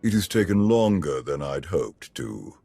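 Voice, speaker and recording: An ancient evil emperor from a video game, with a bottomless, husky voice. He speaks slowly, with calm menace and pompous detachment. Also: extremely low pitch, no echo, faint music behind, full character voice. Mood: cool curiosity. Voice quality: gravelly, husky. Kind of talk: dramatic video game acting.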